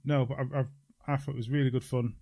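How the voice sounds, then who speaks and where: Male podcast co-host, mid-pitched, wry casual banter, very dry close recording. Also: level tone, fast talker, vocal fry, dark tone, dry recording.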